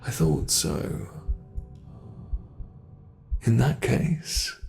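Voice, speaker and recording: A man's voice: medium pitch, big pitch swings, a little mellow. A man with a British accent playing a cruel monster under the bed that mocks and toys with its trapped prey in a horror roleplay. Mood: menacing. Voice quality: gravelly, slow, drawn-out delivery. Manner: menacing, mocking, savoring. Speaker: ASMR roleplay performer.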